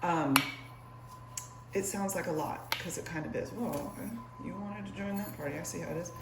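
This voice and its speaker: A young woman with a husky morning voice, chatting playfully to viewers in a cook-along vlog from her kitchen. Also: lowish voice, moderate pace, noisy background. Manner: bubbly and playful, talks to viewers while cooking. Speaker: cooking vlogger. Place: home kitchen. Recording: echoey kitchen.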